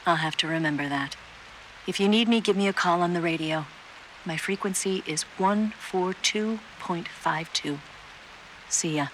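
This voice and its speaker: An actress playing a cool, worldly female spy in a video game, speaking in a measured, enigmatic way. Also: fairly low pitch, a little hiss or hum, close to an everyday voice, low-quality recording. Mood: coy.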